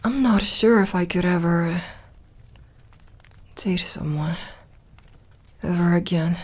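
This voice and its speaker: A woman voicing a lonely, guarded yet tender immortal vampire girl in an intimate ASMR roleplay, speaking softly and haltingly. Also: on the low side. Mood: hesitant, sad. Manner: soft, hesitant, halting delivery with sighs.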